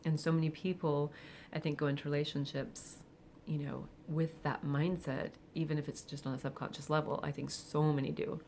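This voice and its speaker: A woman of forty speaking candidly and reflectively in a personal vlog, with soft laughs and hesitant fillers. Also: sits low, little pitch movement, a little fast, hardly any pauses, slight background noise. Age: 40s. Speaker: vlogger. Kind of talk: personal confessional monologue.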